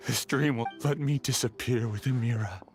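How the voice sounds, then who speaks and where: A man's voice: medium pitch, moderate pace, lightly acted. A man voicing a despairing, bitter scholar in a video game, with dramatic, anguished delivery. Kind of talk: video game character acting. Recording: background music.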